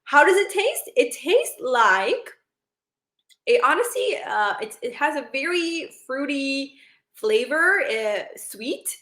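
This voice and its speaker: A woman's voice: sits high, very animated, takes it slowly, fairly bright tone, echoey room. A woman from Sri Lanka, a doctor, giving a casual talk on healthy foods, chatty, with plenty of fillers and repeated words.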